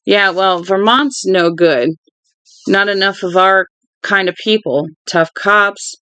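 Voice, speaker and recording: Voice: mid-range voice, takes it slowly, sharp tone, clean tone, no room sound, rough recording. A reader voicing a cocky, crude young older brother from a novel, slangy, blunt and teasing. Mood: cocky, scheming.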